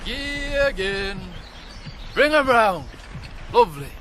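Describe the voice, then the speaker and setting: A man's voice: extremely high pitch, very animated, slow pace, fairly bright tone, slight background noise, exaggerated voice. A man playing a blunt, grumbling farm ploughman with a hearty manner in a radio drama. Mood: rousing. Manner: hearty, swearing, calls out to horses.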